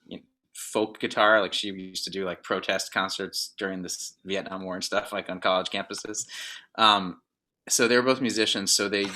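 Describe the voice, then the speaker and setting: Middle-aged male guest, rambling, lots of fillers, sharp bright tone, lowish voice. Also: a little hiss or hum. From Northern Virginia, US. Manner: rambling, many "like" fillers and restarts. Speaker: guest drummer. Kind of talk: casual interview chat.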